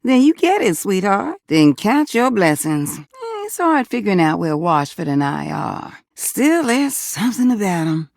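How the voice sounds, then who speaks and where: Flirtatious female game character, American accent, gravelly creak, very animated pitch, folksy, teasing, sassy, close dry sound. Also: moderate pace, few pauses, no room sound. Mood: flirty, teasing. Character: sassy, flirtatious female household object, hot and confiding. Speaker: voice actor in a dating simulator game.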